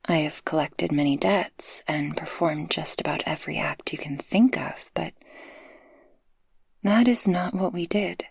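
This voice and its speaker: A woman playing a tender succubus guardian in an intimate, soft-spoken ASMR roleplay, affectionate and breathy. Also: low voice, a little slow, dry recording, heavily acted. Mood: shy, earnest. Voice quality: breathy, husky. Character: tender, possessive female succubus guardian, playful and shy in love.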